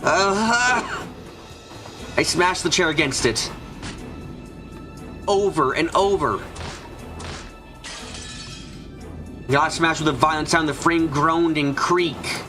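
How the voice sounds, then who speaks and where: A man's voice: fairly high pitch, slow pace, pauses often, music in the background. A man voicing an anxious, frightened narrator trapped and desperate, in a first-person visual novel fan dub. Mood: desperate, frantic. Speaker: voice actor narrating.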